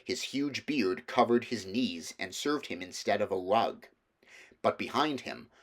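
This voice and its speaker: A man's voice: lowish voice, fairly bright tone. A man reading a children's novel aloud as scripted storybook narration. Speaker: audiobook reader.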